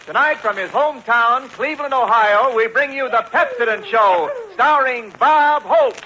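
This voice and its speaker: A man making a deliberate, declamatory 1940s radio show introduction. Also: very high-pitched, over loud music. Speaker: radio announcer. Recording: very noisy old radio recording.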